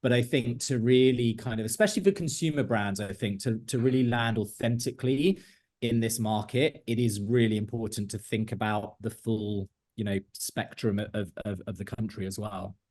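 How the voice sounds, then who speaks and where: A man speaking as a webinar panellist, in a measured and thoughtful way, over a video call. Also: fairly low pitch, a little mellow. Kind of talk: panel discussion.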